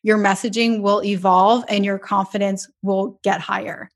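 A woman's voice: medium pitch, flat delivery, moderate pace. A woman hosting a podcast, upbeat and affirming in casual chat.

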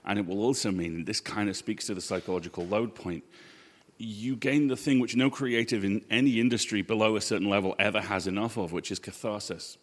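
A man with a low voice answers on a live panel in an echoey hall. He is earnest and measured, often landing his advice in short, punchy sentences. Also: fairly even intonation. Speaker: panel guest. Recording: echoey hall with long reverb.

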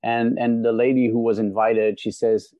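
A middle-aged man from Belgium, a guest on a podcast interview, telling a story in an animated way and often repeating words as he starts a sentence. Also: medium pitch, moderate pace, dry recording. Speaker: guest, motivational speaker. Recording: dry, dull lo-fi sound.

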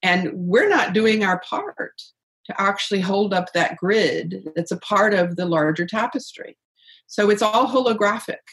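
A middle-aged woman speaking earnestly and reflectively as an interview guest about purpose and spirit, with soft laughs. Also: mid-range voice, animated, unhurried. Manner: earnest, searching, laughs softly. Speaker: guest, author on life purpose.

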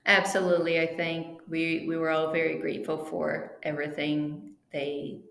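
Portuguese-native woman, guest on a chat, lots of um and restarts, lowish voice, roomy echo. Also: level tone, normal speed, gravelly, a bit bright. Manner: chatty, with frequent fillers and restarts. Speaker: interview guest, team travel planner. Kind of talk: casual interview chat.

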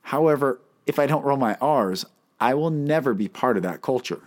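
A man's voice: medium pitch, animated, moderate pace. A man hosting a solo talk episode, earnest and emphatic, asking his listeners questions; he cannot roll his R's. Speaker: podcast host and life coach.

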